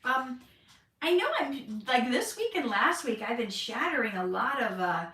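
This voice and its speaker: Chatty woman with bright, roomy sound. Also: a little high, expressive, a little slow, distant mic. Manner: chatty, candid, laughs easily. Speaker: actress. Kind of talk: casual livestream Q&A chat. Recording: roomy echo, voice from off-mic.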